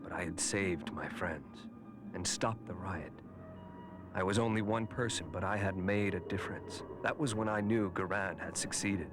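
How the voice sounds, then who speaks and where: A man playing an earnest, reluctant eighteen-year-old hero in an animated series. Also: low-pitched, normal speed, lightly acted. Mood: reflective. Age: young adult. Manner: earnest, expressive. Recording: loud music behind the voice.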